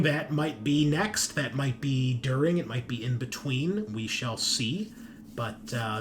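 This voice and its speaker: A male host of a tabletop gaming show speaks in an average-pitched voice over clearly audible background noise. His delivery is loose and conversational, rambling a little and hesitating as he goes through announcements. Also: a bit bright. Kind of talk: casual chat, rambling announcements of upcoming episodes.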